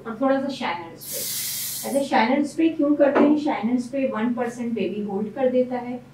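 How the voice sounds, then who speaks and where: A woman's voice: fairly high pitch, normal speed, reverberant, far from the mic, noisy background. A woman hairstylist explaining each step of a hairstyle as she works in a salon. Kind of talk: hairstyling tutorial. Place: salon room.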